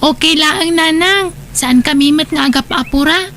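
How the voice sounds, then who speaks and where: A woman's voice: high-pitched, normal speed, fairly bright tone, a little hiss or hum. A woman playing a sweet, understanding young schoolgirl in an audio drama, agreeable, with a Filipino accent.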